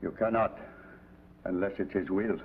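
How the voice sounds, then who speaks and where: A man's voice: low voice, steady tone, normal speed. An actor playing a stern, bitter older father in a vintage television drama, forbidding and terse. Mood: reluctant. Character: stern, bitter old father of slain sons. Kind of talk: 1950s television drama acting. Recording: tinny, hissy vintage TV soundtrack.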